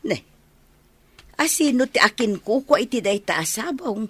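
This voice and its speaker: A middle-aged village mother in a radio comedy drama. She is nosy and bossy, with a lively swing in pitch. The sound is close and dry. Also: normal speed, breathy edge, no room sound. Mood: nosy, suspicious.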